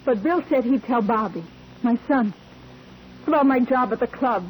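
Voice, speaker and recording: An actress playing a blunt, guarded club dancer on trial in a 1940s radio drama, giving terse, reluctant, colloquial answers. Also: female voice, fairly high pitch, moderate pace, natural voice. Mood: guarded, bitter. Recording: noisy old 1940s radio recording.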